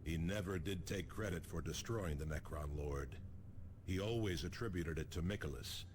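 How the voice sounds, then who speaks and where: An actor playing a stern, battle-hardened force commander in a video game, wary and terse. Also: male voice, low-pitched, moderate pace, slight background noise, natural voice. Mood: reflective.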